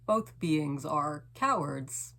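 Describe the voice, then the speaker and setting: A woman reading her own poem in a dramatic, lingering way, with hushed phrase endings and long pauses. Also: low-pitched, expressive. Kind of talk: slow dramatic poetry reading.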